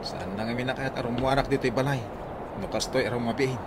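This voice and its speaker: Radio comedy role: hurried Ilocano tricycle driver played by a man, pushy, quick-fire and clipped. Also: medium pitch, fairly even intonation, heavy background noise, close to an everyday voice. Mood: hurried, coaxing.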